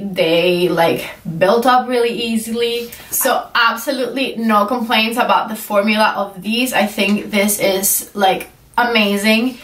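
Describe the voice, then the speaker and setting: A woman's voice: mid-range voice, fairly bright tone. Young woman, congested stuffy voice, chatty makeup talk, lots of "like", roomy echo. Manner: chatty, enthusiastic, many "like" fillers. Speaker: beauty vlogger. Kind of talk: casual makeup review. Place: indoor room with shelves. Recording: roomy echo, voice slightly far from mic.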